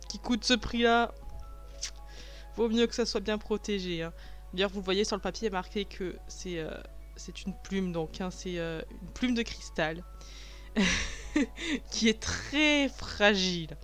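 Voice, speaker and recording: A woman and mother of a young child, talking over her unboxing video afterwards in a chatty, self-mocking way, with many fillers and restarts. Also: medium pitch. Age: adult, a mother of a young child. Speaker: vlogger reviewing a package. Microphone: voice-over recorded afterwards, not while unboxing.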